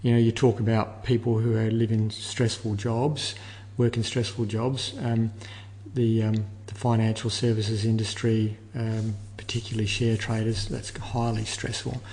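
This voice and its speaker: An older man giving measured, explanatory answers as an interview guest, repeating words as he thinks. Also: fairly low pitch, level tone, darkish tone. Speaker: interview guest, air traffic control manager. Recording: long reverberant room echo.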